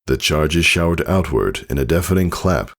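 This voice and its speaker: A man's voice: very deep voice, animated, normal speed, fairly bright tone. A man narrating a scripted story in a dramatic reading style. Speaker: fan fiction narrator.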